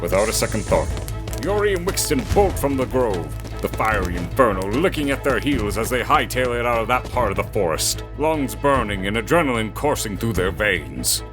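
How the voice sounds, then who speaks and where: A man's voice: fairly low pitch, sing-song, normal speed. A man narrating an audio drama with dramatic scripted storytelling delivery. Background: music.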